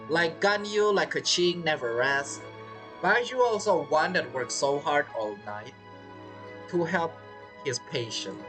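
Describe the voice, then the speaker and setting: Male streamer, expressive swings in pitch, casual rambling playthrough commentary, light music behind. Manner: rambling, repeats phrases. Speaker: game streamer.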